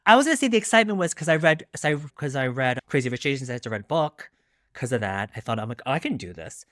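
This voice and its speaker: A man chatting casually as an interview guest, animated and self-deprecating, often quoting his own thoughts. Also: medium pitch, fast talker, dry recording, clean studio sound. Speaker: guest author discussing his debut novel.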